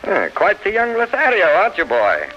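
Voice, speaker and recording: An actor playing a blustering, leering middle-aged uncle, a colonel, in hearty 1930s radio style. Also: male voice, high voice, huge pitch swings, normal speed, noisy background. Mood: leering, teasing. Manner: hearty, bullying, exclamatory. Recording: muffled old 1930s radio broadcast.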